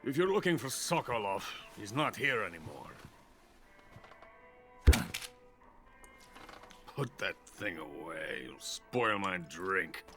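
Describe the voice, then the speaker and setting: An actor playing a drunk, boastful older Soviet weapons scientist in a video game cutscene. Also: male voice, fairly low pitch, bright, forceful tone. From Soviet Union. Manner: pompous, self-important, bitter.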